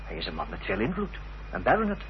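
An actor playing a determined, formal gentleman in a radio drama, earnest and insistent, on a dull, noisy old recording. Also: fairly low pitch, wildly swooping pitch, quick pace. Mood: firm, insistent. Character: determined, formal gentleman confronting an enemy's accomplice.

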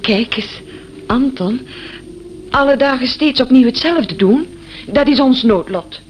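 Eager, high-pitched, gushing young secretary. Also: female voice, animated, takes it slowly. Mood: gushing, earnest. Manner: effusive, gushing, breaks into laughter. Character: eager, gushing young single secretary, excitedly curious and forward. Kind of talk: Dutch radio play acting. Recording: noisy old radio play recording.

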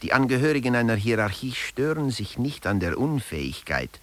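A man's voice: mid-range voice, sing-song, fairly quick, close to an everyday voice. A man narrating a satirical lecture in a radio play, articulate and emphatic in a mock-scholarly way.

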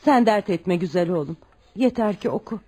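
A woman's voice: medium pitch, lively intonation, moderate pace, a little mellow, breathy edge. An actress playing a devoted, self-sacrificing widowed mother who works as a maid, pleading tenderly and emphatically in a radio drama. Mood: firm, tender. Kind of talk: scripted radio drama acting.

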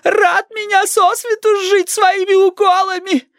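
A querulous old grandmother in a dramatized storybook. The voice is extremely high and shrill, dragging words out slowly in plaintive, martyred wails. It sounds close and dry. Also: level tone, dry recording. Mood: shrill, accusing. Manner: plaintive drawn-out shrieking and moaning. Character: querulous, manipulative old grandmother, wailing and suspicious. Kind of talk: dramatized audiobook reading.

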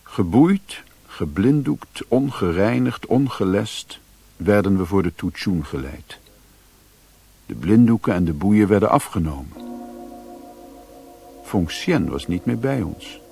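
Slow, low, husky narrator. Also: male voice, animated, mellow, dry recording, exaggerated voice. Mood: grave, tense. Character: grave man narrating an adventure in China. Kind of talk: radio drama narration.

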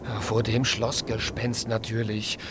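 Guy playing a scared dreamy piglet in a kids radio play, slow n hesitant, music behind. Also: lowish voice, flat delivery, natural voice. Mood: timid. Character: timid, dreamy young piglet, easily scared. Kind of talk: children's radio play acting.

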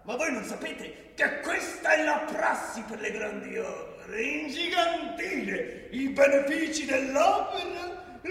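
An actor playing a gloating, arrogant champion of big public works who sneers at common people, in a theatrical, mocking radio-play monologue. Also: male voice, very high voice, recorded from a distance, full character voice. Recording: echoey hall from afar, loud music.

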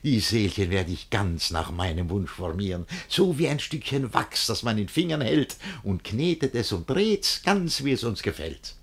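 A possessive, calculating middle-aged guardian in a classic radio play. His voice sits fairly low and swings widely in melody. He declaims rhymed verse in a mannered, theatrical way, masking jealousy with politeness. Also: very animated, normal speed, few pauses, breathy edge, exaggerated voice. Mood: smug, scheming. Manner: declamatory, mannered, with exclamations and echoed phrases. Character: scheming, possessive older guardian hiding jealousy behind feigned friendliness.

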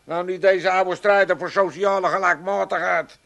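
An actor playing a cranky, proud old junk dealer from Amsterdam with an Amsterdam accent, in a radio play. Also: male voice, sits high, fairly even intonation, moderate pace, vocal fry, fairly bright tone. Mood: pompous. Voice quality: blustering, theatrical.

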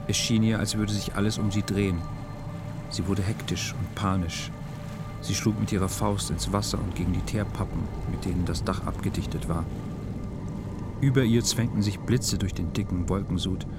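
A man's voice: fairly low pitch, noisy background. A man narrating a literary radio drama from a script in a sober, matter-of-fact way. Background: music.

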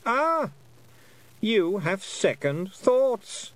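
Man playing a high, haughty, mocking fairy Queen. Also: sing-song, fairly bright tone, full character voice. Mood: mocking, scornful. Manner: condescending, drawn-out, mock-polite. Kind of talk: audiobook character voice.

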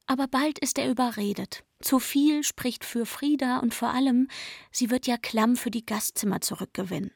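High, creaky-edged aggrieved young barmaid. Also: female voice, moderate pace, no room sound, studio quality. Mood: bitter. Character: young barmaid recounting her rival's doings, aggrieved. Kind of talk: radio play acting.

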